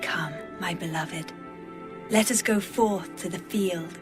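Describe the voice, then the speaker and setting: A woman reading as a devoted, loving young bride in a measured, poetic dramatized audio Bible style. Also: fairly low pitch, music in the background. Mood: loving, inviting.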